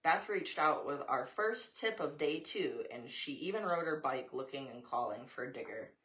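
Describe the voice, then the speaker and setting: A woman's voice: very deep voice, lively intonation, moderate pace, fairly bright tone, low-quality recording. An American woman reads a children's picture book aloud, adding informal asides to a young child. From United States. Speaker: storybook reader, mother.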